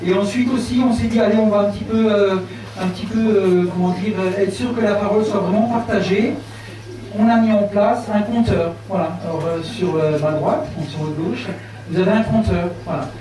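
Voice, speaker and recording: A man's voice: sits high, fairly even intonation, normal speed, a crowd in the background. Hesitant man moderating in an echoey hall. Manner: many fillers, repeats "voilà". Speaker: debate moderator. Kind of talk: hesitant welcoming speech. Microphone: handheld mic, heard from afar. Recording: echoey hall, distant, noisy.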